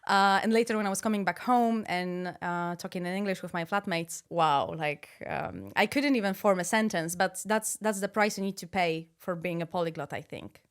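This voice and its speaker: A young woman whose first language is Polish, chatting in English as a podcast guest, lively and full of fillers. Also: fairly low pitch, moderate pace, hardly any pauses, clean tone. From Poland. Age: young adult. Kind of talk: casual interview chat.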